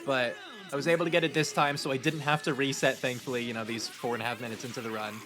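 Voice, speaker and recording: A man with a stuffy nose giving relaxed, rambling commentary on his speedrun, with lots of ums. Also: mid-range voice, quick pace, music in the background. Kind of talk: casual post-run gameplay commentary.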